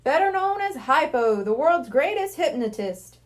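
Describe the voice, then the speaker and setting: A woman's voice: high voice, very animated, normal speed. A woman reading the part of a friendly, playful middle-aged male hypnotist in a children's storybook, courteous and jovial. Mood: welcoming.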